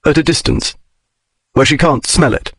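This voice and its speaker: A man voicing a proud gentleman adventurer who hides his unease, in audiobook dialogue. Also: mid-range voice, expressive, normal speed, no room sound, clean studio sound, lightly acted. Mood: cold, distant. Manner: clipped, stiff, cool.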